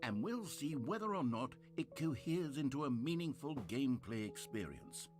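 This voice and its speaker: A man's voice: mid-range voice, big pitch swings, moderate pace, a little mellow, close to an everyday voice. A man acting as a pompous, swooping game narrator. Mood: eager, hopeful. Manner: pompous, wry, sarcastic, articulate. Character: smug, theatrical, self-satisfied male game narrator addressing Stanley. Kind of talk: theatrical scripted narration. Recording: game audio with music underneath. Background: music.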